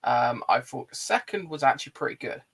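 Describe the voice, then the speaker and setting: Male host, mid-pitched with heavy vocal fry, casual conversational delivery. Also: animated, a little fast, fairly bright tone. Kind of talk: casual chat.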